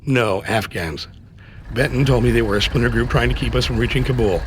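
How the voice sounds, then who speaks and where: A man's voice: mid-range voice, a little fast, slight background noise, close to an everyday voice. A man acting a terse, steely soldier. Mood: stoic. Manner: terse, matter-of-fact. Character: steely male soldier turned covert agent, testifying calmly. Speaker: voice actor in a video game cutscene. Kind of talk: 1990s video game voice acting, testimony narration.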